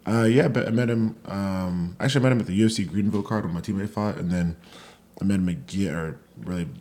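A young man, a fighter, chatting casually as a podcast guest with a relaxed, rambling manner full of fillers. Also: deep voice, fast talker. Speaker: guest, amateur MMA fighter.

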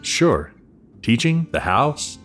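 A man's voice: mid-range voice, sing-song, takes it slowly, fairly bright tone, faint music behind. A man playing an easygoing middle-aged professor father in an audio drama, speaking in a casual, understated way. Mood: tired, easygoing. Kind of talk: conversational audio drama acting.

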